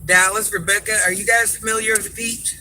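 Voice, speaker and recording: A man's voice: high-pitched, fairly quick, low-quality recording. A man hosting a casual livestream from his car after work, chatting with viewers, greeting them by name and drifting off topic. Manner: calls himself granny. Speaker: livestream host. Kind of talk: casual live chat with viewers. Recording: steady car noise behind.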